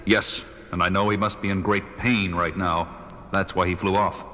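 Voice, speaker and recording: An actor playing a grave, composed masked crime fighter in a superhero audio drama. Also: male voice, deep voice, flat delivery, moderate pace. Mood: grave, calm. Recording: loud dramatic music underneath.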